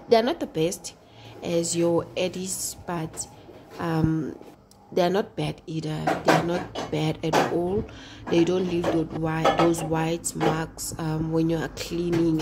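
Low-pitched, even-toned woman vlogger, noisy recording. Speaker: homemaking vlogger. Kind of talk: casual chatty voice-over to viewers. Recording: noisy home recording with clicks.

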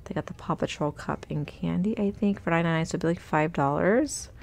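A woman's voice: lowish voice, fast talker, creaky, darkish tone. A woman vlogging as she walks through a store, chatting upbeat and reading out prices on a handheld phone. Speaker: shopping vlogger. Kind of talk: casual shop-with-me narration. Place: inside a large retail store.

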